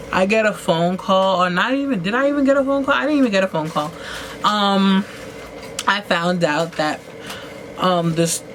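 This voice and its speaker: A woman talking casually as she gets ready, rambling with lots of "like"s and restarts and jumping up in pitch for emphasis. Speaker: vlogger. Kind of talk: casual storytelling while getting ready. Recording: noisy home recording.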